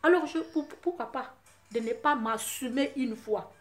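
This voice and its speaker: Emphatic high-voiced Guinean woman vlogging. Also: a little slow. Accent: Guinean French. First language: Susu. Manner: emphatic, rising often to loud forceful outbursts. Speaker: vlogger addressing her fans. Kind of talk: impassioned monologue to camera.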